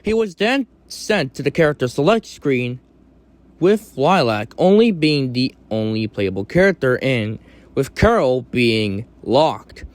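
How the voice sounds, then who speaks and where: A man hosting a casual explainer commentary, speaking in halting, choppy phrases. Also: mid-range voice, lively intonation, slight background noise. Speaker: video host.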